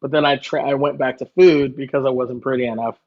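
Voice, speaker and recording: A man's voice: medium pitch, steady tone, a little fast, darkish tone. Casual joking man, slightly dull audio. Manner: self-deprecating, joking. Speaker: guest chef. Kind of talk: casual conversational storytelling.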